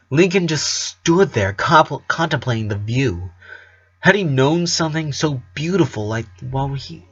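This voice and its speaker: Man reading a story aloud, slightly dull recording. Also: medium pitch. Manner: expressive, with stumbles and self-corrections. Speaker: amateur audiobook reader. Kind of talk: storybook narration with acted dialogue.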